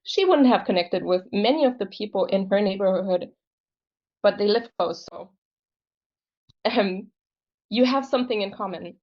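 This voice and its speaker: A woman's voice: mid-range voice, expressive, normal speed, long pauses. A young woman casually sharing a personal story on a video call. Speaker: program participant telling her story. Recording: slightly dull video-call audio.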